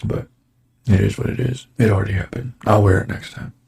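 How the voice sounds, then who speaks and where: Low gravelly man in his forties, rambling. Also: fairly even intonation, fairly quick, a little mellow. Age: 40s. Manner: rambling, with many fillers and restarts. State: slightly raspy from breathing dust. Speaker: show host. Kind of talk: casual chat. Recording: roomy echo.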